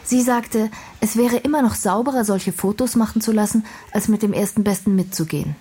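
A woman's voice: medium pitch, fairly quick, mellow, slightly rough, lightly acted. An actress playing a blunt, earnest young intellectual woman in a radio crime drama, speaking precisely and formally. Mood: candid, matter-of-fact. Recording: music playing behind the voice.

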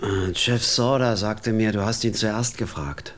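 An actor playing a quiet, deadpan hired killer who narrates his own story in a radio drama. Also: measured pace, a little hiss or hum, lightly acted. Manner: deadpan, quiet, low-key. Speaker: narrator and lead.

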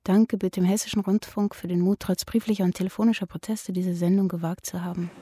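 A woman's voice: fairly low pitch, steady tone, fast, dark tone, husky, dry recording. A woman reading announcements and press text aloud.

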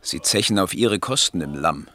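An actor playing a wily, grumbling campaign adviser with a clipped, argumentative manner in a 1960s German radio satire. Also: male voice, low voice, expressive, moderate pace, sharp tone. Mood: cautioning.

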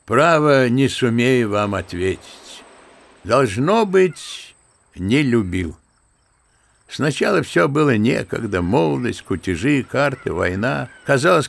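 An actor playing an old general and grandfather, telling his memories in an unhurried, bookish, old-fashioned way in a radio drama. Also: medium pitch, gravelly, heavily acted. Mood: wistful. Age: older. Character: old general and grandfather, unhurried naive storyteller, good-natured. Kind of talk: Russian radio drama acting.

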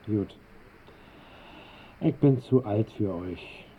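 An actor playing an old, restless, eccentric master bricklayer who grumbles and rambles in a radio drama. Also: male voice, fairly low pitch, drawn-out words, slightly rough, heavily acted. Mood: grumbling, restless. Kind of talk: 1960s German radio drama acting. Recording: muffled band-limited old radio recording.

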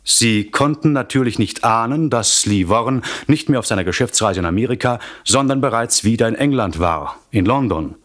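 A man with a standard German accent narrates a suspense radio serial in a deliberate, theatrical storytelling manner. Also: medium pitch, animated, fairly bright tone. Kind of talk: scripted suspense recap narration.

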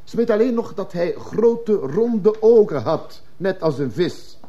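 A man's voice: high voice, lively intonation, unhurried, mellow. An actor playing a scornful man arguing a case in court in a radio drama, mocking and rhetorical. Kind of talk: theatrical radio drama oratory. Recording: dull, lo-fi old radio recording.

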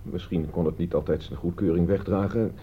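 An actor playing a defensive thirty-year-old idler under questioning, speaking evasively in a radio play. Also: male voice, low-pitched, fairly even intonation, fairly quick, dark tone, slight background noise, close to an everyday voice. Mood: explaining, wry. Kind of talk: Dutch radio drama dialogue. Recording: dull, band-limited old radio recording.

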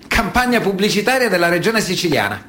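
A woman narrating scripted radio theatre with an emphatic, declamatory and deliberate delivery. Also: mid-range voice, very animated, a bit bright, slight background noise. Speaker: actress-narrator. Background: music.

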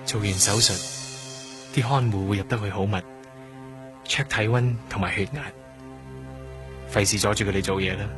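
Young trainee doctor , male actor: Hong Kong Cantonese radio drama, low pitch, defensive and guarded, clear music bed behind. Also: steady tone, moderate pace, pauses often, lightly acted. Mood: evasive. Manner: guarded, terse answers, defensive explanations.

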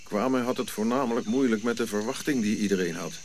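A reflective African prince played by a man tells of his royal past in a radio play. His voice is on the low side, his phrasing eloquent and measured, with pauses. Crickets and clear background noise sit behind him. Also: natural voice. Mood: reflective, wistful. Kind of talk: audio drama narrative monologue.

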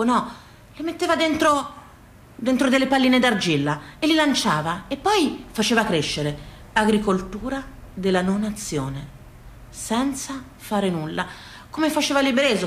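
A woman with a Tuscan accent performs a theatrical storytelling monologue, emphatic and deliberate, with repetitions and dramatic pauses. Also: mid-range voice. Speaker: solo stage performer, storyteller. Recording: echoey room or stage sound.

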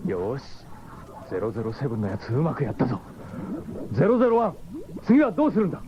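An actor playing an eager, excitable cyborg fighter in a radio drama. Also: male voice, medium pitch, quick pace. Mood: urgent. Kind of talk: 1970s radio drama acting. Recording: noisy, dull 1970s radio recording.

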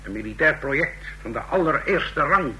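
An actor playing a confident commander-in-chief, lecturing formally and emphatically in an old Dutch radio drama. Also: male voice, on the high side, animated, moderate pace, bright, forceful tone, low-quality recording. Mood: firm, explanatory.

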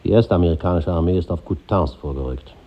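An actor playing a composed, resolute wartime army colonel in a 1950s radio drama, with a clipped, controlled delivery. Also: male voice, deep voice, fast, slightly rough. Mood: grave, resolute. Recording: dull old radio recording, slight noise.

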